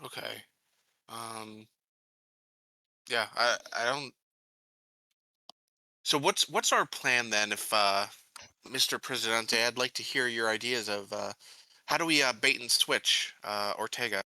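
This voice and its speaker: A man in casual in-character discussion at a tabletop game, reasoning things out with pauses. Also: mid-range voice, pushed and bright, dry recording, low-quality recording. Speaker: tabletop role-playing player.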